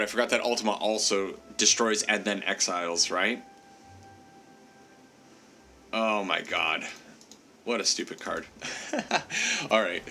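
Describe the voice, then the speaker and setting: A man's voice: lowish voice, pushed and bright, slight background noise. A man with a congested, stuffy voice casually commenting on a card game he is playing, thinking aloud, laughing at himself and apologizing to his opponent. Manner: chatty, self-deprecating, thinks aloud with frequent laughs. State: congested from a cold. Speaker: gameplay commentator.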